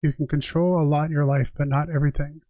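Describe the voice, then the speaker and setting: A man with a moderately high voice, recorded very dry without room sound. He works as a tarot reader on a livestream, shifting between reading passages aloud and casual, reflective chat addressed straight to his viewers. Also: flat delivery, quick pace, dark tone, no room sound, muffled recording. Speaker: tarot reader and live streamer.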